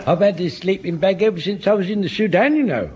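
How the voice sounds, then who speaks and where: An actor playing an earnest, rambling, eccentric old English corporal in a broadcast sitcom. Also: male voice, sits high, quick pace, a little mellow, natural voice. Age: older.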